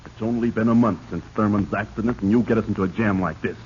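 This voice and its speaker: A man's voice: lowish voice, fairly even intonation, fast, darkish tone, close to an everyday voice. A man playing a resentful, scheming office climber turned killer in a 1940s radio drama, hard-edged and bitter. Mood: pushy, indignant. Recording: muffled, noisy 1940s radio recording.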